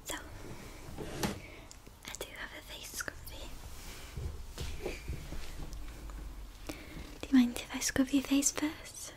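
A young woman whispering softly in a girlfriend-style ASMR roleplay, doting and giggly. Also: sits high, steady tone, slow pace, long pauses. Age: young adult. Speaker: ASMR creator. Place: bedroom with purple lights.

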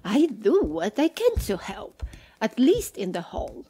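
A woman playing a fretful, self-satisfied hobbit in an audio drama, with a measured, fussy manner. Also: fairly high pitch, huge pitch swings, warm tone, breathy edge, heavily acted. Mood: sighing, self-satisfied.

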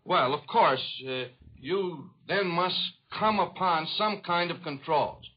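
Higher-voiced American man on old radio. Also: lively intonation, takes it slowly, breathy edge. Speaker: union official interviewed. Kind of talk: unscripted interview answers. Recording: dull 1940s radio recording.

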